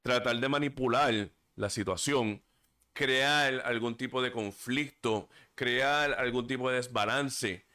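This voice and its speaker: A man's voice: medium pitch, slow pace, sharp tone, clear, resonant tone. A man hosting a solo podcast, talking earnestly and stumbling over words now and then. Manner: earnest and preachy, stumbles on words, drifts into English fillers. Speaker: podcast host. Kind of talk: casual solo podcast talk. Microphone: desk microphone.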